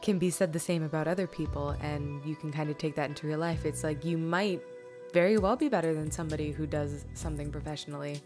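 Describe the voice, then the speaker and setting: A woman with a notably low voice hosts a casual Q&A. She rambles informally, blunt and a bit geeky, while music plays clearly behind her. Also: fairly even intonation, fast talker. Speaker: Q&A host. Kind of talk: casual chat.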